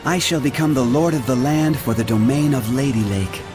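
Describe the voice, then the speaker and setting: A man voicing a solemn, humble water spirit in a video game, speaking in a slow, measured, formal way. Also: mid-range voice, over music, lightly acted. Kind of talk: English game voice acting.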